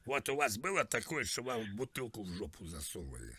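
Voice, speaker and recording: A man's voice: fairly low pitch, big pitch swings, fairly quick, crowd noise. A man making a prank call, posing as an older man and talking in a plaintive, rambling, mock-naive way. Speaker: prank caller.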